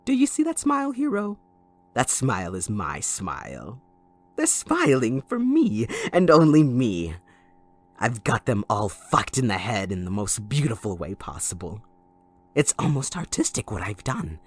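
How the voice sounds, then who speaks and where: A man playing a sadistic, possessive supervillain kidnapper in an audio roleplay, taunting theatrically and mock-sweetly, moving between hushed menace and outbursts. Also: mid-range voice, wildly swooping pitch, measured pace. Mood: gloating, menacing. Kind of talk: dramatic audio roleplay monologue.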